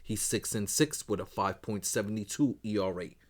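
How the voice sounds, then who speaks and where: A man who is losing his voice, running quickly through his sports betting picks as host, in a brisk set routine. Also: on the low side. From Northeast United States. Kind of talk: rapid betting picks rundown.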